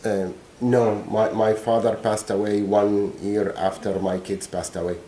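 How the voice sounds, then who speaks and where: A man's voice: fairly low pitch, little pitch movement, unhurried, mellow, slight background noise, rough recording. A middle-aged man, testifying as a witness in a courtroom and searching for his words. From Egypt.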